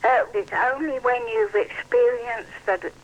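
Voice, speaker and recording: A woman's voice: a little high, unhurried. An older woman calling in to a radio phone-in, talking in a confused, hesitant way over a phone line. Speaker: phone-in caller.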